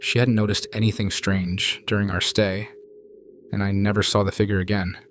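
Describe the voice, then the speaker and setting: A man calmly narrating true scary stories in a measured, hushed scripted style. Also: on the low side, a little hiss or hum. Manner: calm, measured, hushed storytelling. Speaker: narrator reading viewer stories. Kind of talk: scripted horror story narration.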